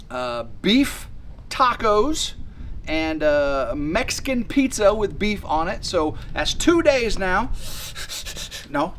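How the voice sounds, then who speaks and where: Excitable rambling man in his fifties. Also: high voice, a little hiss or hum. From West Tennessee. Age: 50s. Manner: rambling and excitable, many fillers and restarts. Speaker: homesteading vlogger. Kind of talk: rambling casual vlog to camera. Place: outdoors by a work shed on a sunny day.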